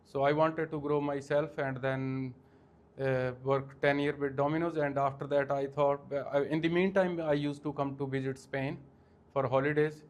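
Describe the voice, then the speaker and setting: A man in his forties from India with an Indian accent, answering interview questions in a halting way with many fillers. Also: mid-range voice, level tone. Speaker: guest, franchise owner.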